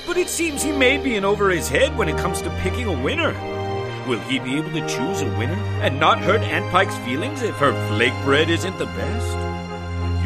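A dramatic cartoon serial narrator, played by a man, excitable and theatrical. His voice swings widely in pitch over loud music.

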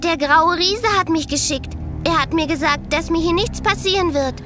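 A woman's voice: extremely high pitch, moderate pace, music in the background. A woman playing an innocent, friendly and mysterious little girl of about eleven in a German audio drama, answering simply and secretively. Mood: innocent, reassuring.

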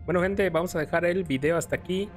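A man's voice: high-pitched, fast, soft background music. Mexican man casually commenting on gameplay. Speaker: gaming channel host. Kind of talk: casual let's-play commentary.

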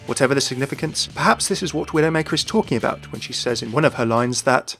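A man presents scripted gaming commentary as a video host. His pitch is average and he talks quickly, with faint music underneath. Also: fairly bright tone.

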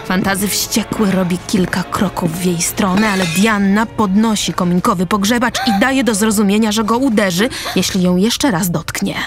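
A woman's voice: medium pitch, normal speed. A woman narrator reading the scripted stage directions of a radio drama. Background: music.

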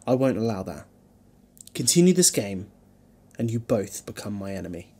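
Man acting a husky, wry time-travelling hero. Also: on the low side, big pitch swings, moderate pace, dark tone, exaggerated voice. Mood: stern, defiant. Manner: wry, chatty, bantering. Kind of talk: fan audio drama acting.

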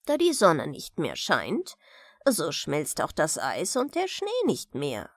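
Slow, very animated woman storyteller. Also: low voice, breathy edge, no echo, studio quality. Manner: expressive, unhurried storytelling. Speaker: audiobook reader of fairy tales. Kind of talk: scripted bedtime fairy-tale narration.

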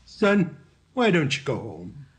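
A man's voice: fairly high pitch, wildly swooping pitch, slow pace, heavily acted. A man playing a kindly, paternal policeman in an old-time radio sitcom. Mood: soothing, paternal.

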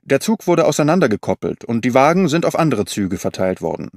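A man's voice: mid-range voice, sing-song, fairly quick, darkish tone, dry recording, studio quality. A man voicing a friendly old railway official in an audiobook, courteous and explanatory. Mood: helpful, factual. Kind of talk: audiobook character reading.

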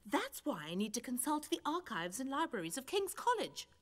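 A young, self-assured cartoon scholar. Her high voice moves expressively as she makes her points precisely and earnestly. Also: very animated, moderate pace. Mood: confident. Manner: precise, assertive. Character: confident, earnest young female academic. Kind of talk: cartoon drama acting.

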